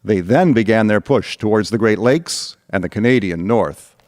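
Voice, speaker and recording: A man's voice: on the low side, moderate pace, darkish tone, dry recording, studio quality. A man narrating a scripted historical radio drama in a storytelling style.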